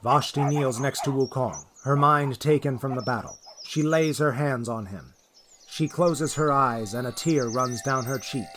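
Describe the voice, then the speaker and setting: A man reads scripted fantasy narration in a medium-pitched voice. The recording has loud noise behind him throughout. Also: a little slow.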